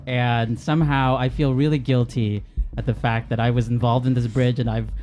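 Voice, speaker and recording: A man's voice: medium pitch, flat delivery, normal speed, a little mellow. A man playing a tabletop game, casually describing what his character feels and does. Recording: music behind the voice. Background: background music.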